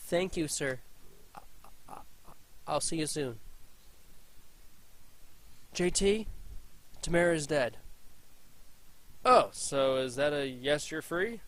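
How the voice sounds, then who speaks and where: A man's voice: on the high side, animated, slow, pauses often, fairly bright tone. A man voicing a scared young woman sleuth. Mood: angry. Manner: shaky, stammering, then matter-of-fact.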